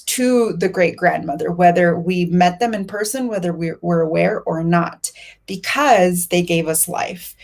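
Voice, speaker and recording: A woman whose first language is Spanish, a healing facilitator speaking in thoughtful, searching phrases with restarts. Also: mid-range voice. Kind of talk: reflective conversation. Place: Mexico.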